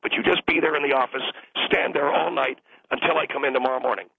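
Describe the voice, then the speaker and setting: A man calling in with a hoarse, strained throat, indignantly scolding the host in a comic rant. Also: mid-range voice, fairly even intonation, fast talker, no echo. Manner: indignant, scolding, mock outrage. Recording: tinny phone line.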